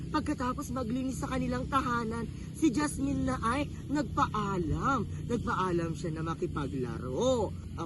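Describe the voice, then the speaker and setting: A woman with a Filipino accent tells a children's story in a teacherly way, putting questions to young listeners. Her pitch is mid-range, and there is clear noise behind her. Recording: noisy room with clear background noise.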